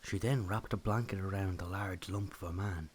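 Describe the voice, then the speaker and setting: A man's voice: deep voice, steady tone, moderate pace, a little hiss or hum. A man giving scripted storytelling narration of a mythic legend.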